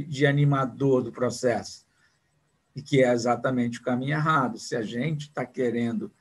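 Husky older man lecturing over video call. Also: mid-range voice. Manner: conversational, repeats words when thinking, asks rhetorical questions. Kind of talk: online business lecture.

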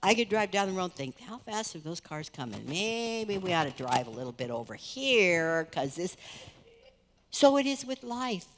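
A middle-aged woman pastor preaching in a large church hall. She speaks earnestly and conversationally, and her pitch swings between lifted emphasis and quiet, low asides. Her voice carries a long reverb off the room around her. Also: moderate pace, slight background noise. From United States. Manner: earnest, conversational preaching with lively rises and soft drops. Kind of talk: sermon. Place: large church stage, indoors.

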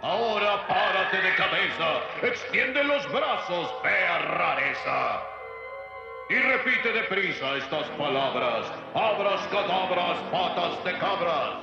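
A man's voice: sits high, expressive, slow pace, gravelly, heavily acted. A man voicing a bossy, mocking talking spell book in Latin American Spanish for a cartoon, chanting taunting rhymes. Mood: commanding. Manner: speaks in taunting sing-song rhymes. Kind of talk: cartoon dub acting. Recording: cavernous echo, distant, dull sound, music behind.

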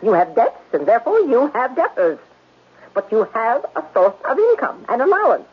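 A woman's voice: on the high side, wildly swooping pitch, normal speed, slightly rough, heavily acted. An actress playing a stern, haughty woman who speaks formally and condescendingly in a 1970s radio drama. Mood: stern, dismissive. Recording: dull, noisy vintage radio recording.

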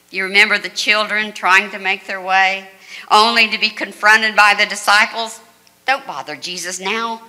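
An older woman pastor delivering a measured, earnest sermon at a lectern mic in a church sanctuary. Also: medium pitch, sharp tone. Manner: measured, earnest preaching with dramatic quoted voices. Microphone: stand mic at a lectern, far camera. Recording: reverberant church hall.

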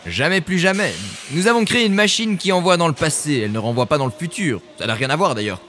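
A man's voice: on the high side, expressive, quick pace, fairly bright tone, faint music behind. A man playing a self-assured, eccentric tycoon-scientist in a comedic sci-fi radio play, pompous, theatrical and evasive.

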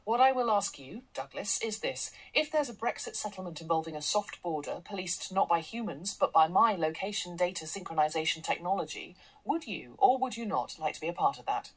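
A slick, pushy tech mogul. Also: female voice, on the low side, expressive, fairly quick, few pauses, husky, poor audio. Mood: assertive, slick. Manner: slick, assertive, salesy. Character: brash, confident woman tech entrepreneur. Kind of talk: radio drama acting.

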